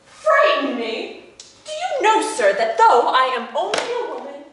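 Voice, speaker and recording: An actress playing a proud, romantic, high-spirited young lady in a live stage comedy, theatrical, exclamatory and teasing. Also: huge pitch swings, a little slow, heavily acted. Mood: proud, offended. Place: indoor stage in an arts center, recorded from afar. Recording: distant, very echoey theater recording, faint noise.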